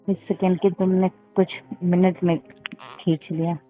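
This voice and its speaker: A woman's voice: fairly low pitch, little pitch movement, measured pace, faint music behind. Woman on a tinny phone line. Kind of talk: casual intimate phone chat. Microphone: phone call recording.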